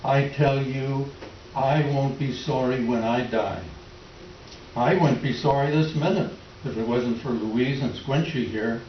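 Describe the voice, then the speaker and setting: A man's voice: medium pitch, a little slow, lightly acted. A man playing a worn-out, despairing Depression-era tenant farmer in a plaintive staged reading with rural folk phrasing. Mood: weary, despairing. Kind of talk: readers theater dramatic monologue. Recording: distant mic, echoey room, faint noise, dull.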